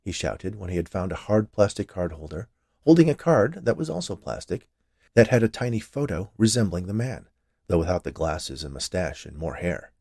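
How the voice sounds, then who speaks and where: A man's voice: low voice, sing-song, normal speed, gravelly, a little mellow, dry recording, clean studio sound. A man reading scripted fiction aloud as a storyteller. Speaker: story reader.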